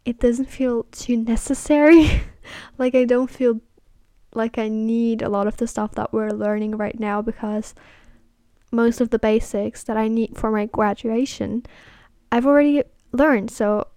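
A woman's voice: fairly high pitch, fairly even intonation, measured pace, darkish tone, no echo, clean studio sound. An eighteen-year-old woman hosting a casual solo podcast on camera. She rambles hesitantly with many "like" fillers and apologizes self-consciously. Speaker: podcast host.

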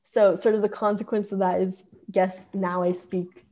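A woman's voice: mid-range voice, flat delivery, moderate pace, dark tone. A young woman from Japan, giving a casual, conversational account of herself as an interviewee. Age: young adult.

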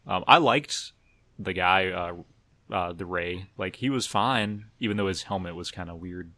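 A man with a low voice talks as a podcast co-host in a dry-sounding recording. His delivery is informal and slightly hesitant, like a fan sharing opinions among friends. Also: moderate pace, bright, forceful tone, no room sound. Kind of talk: casual fan discussion of superhero TV.

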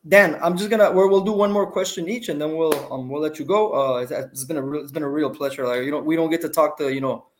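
Quick, bilingual Mexican-born male host. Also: fairly high pitch, a little hiss or hum. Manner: hesitant, many fillers and restarts. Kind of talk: bilingual interview, translating.

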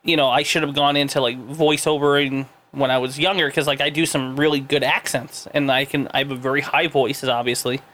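A man's voice: mid-range voice, little pitch movement, a little fast, hardly any pauses, a bit bright. A man co-hosting a podcast, chatting casually and playfully, laughing often. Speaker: podcast co-host. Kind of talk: casual comedic chat.

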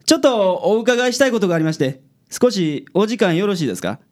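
A woman's voice: mid-range voice, big pitch swings, fast, lightly acted. A woman playing a cool, dry-witted male private detective with a Kansai accent in a radio drama. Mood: polite, probing.

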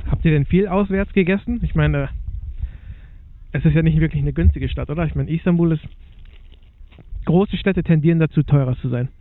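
A man's voice: on the high side, brisk, pauses often. A man hosting a casual interview, rambling and restarting his questions. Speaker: host. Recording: tinny dry phone-like sound.

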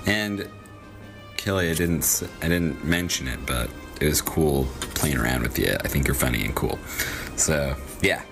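A man's voice: rumbling low, moderate pace, music in the background. A man chatting casually as a guest performer on a podcast. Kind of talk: casual chat.

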